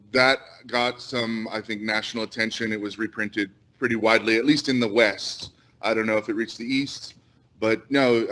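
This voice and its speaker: An American man giving earnest, unscripted remarks as a panel guest, often pausing mid-sentence. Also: mid-range voice, a bit bright. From United States.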